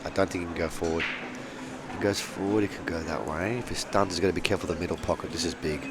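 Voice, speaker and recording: A middle-aged Australian man giving casual, excitable and opinionated live commentary on a pool match. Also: low voice, very fast. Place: pool venue, close to the players. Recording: very noisy live venue recording.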